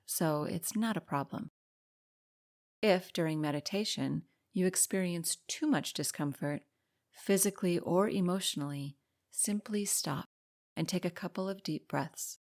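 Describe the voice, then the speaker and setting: A woman leading a guided meditation in a calm, soothing, unhurried way, pausing between short instructions. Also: on the low side. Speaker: meditation guide and podcast host.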